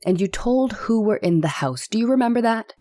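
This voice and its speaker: A woman's voice: on the high side, lively intonation, a little fast, warm tone, lightly acted. A woman playing a skeptical, relentless nineteenth-century defense attorney in a dramatic reading, questioning in a pointed, formal manner. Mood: insistent. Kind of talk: dramatic reading of a courtroom transcript.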